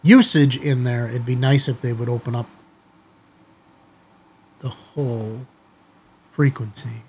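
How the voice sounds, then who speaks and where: A middle-aged American man with a slightly husky voice, talking informally and directly to viewers as a video host. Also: mid-range voice, fairly even intonation, slow, darkish tone, slight background noise. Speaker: amateur radio video host. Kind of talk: casual chatty commentary to viewers. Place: small indoor studio office, seated at desk.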